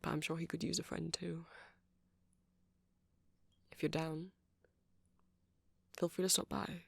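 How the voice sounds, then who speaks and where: Man voicing a nerdy male vampire, shy and earnest, fairly even low-key pitch, gravelly creak, nervous stammering, ASMR roleplay. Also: measured pace, dry recording, exaggerated voice. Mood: hopeful, friendly. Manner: hesitant, rambling, awkward nervous stammering.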